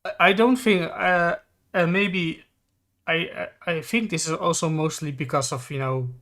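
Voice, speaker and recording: A man's voice: fairly high pitch, measured pace, a bit bright. A man whose first language is Dutch, speaking English in a thoughtful way and searching for words. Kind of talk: casual opinion chat.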